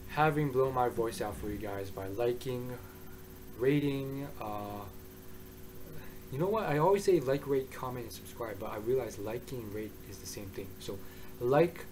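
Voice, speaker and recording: A man with a mid-range voice talks through a review in a loose, excitable way, circling back and restarting his thoughts. His voice is hoarse. He sounds like a regular host speaking straight to his viewers. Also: mid-range voice, a little hiss or hum, poor audio. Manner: excitable, rambling, with many restarts. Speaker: manga reviewer. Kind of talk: casual review monologue.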